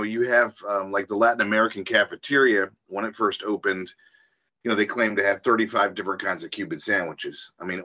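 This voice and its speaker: A man with a low voice and a forceful, bright tone answers interview questions. He pauses and hedges with fillers as he thinks. The sound is a little dull and lo-fi. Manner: thoughtful, hesitant with fillers. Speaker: author and guest. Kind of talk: radio interview.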